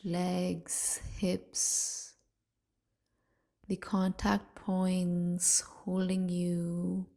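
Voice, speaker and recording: A woman leading a guided sleep meditation in a hushed, soothing, softly breathy way, leaving long pauses. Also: fairly low pitch.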